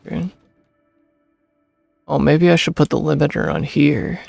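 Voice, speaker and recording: A young man streaming a game, reading its text aloud and commenting casually, with a creaky, husky voice. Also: fairly high pitch, lively intonation, unhurried, dry recording. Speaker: gaming streamer. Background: soft game music.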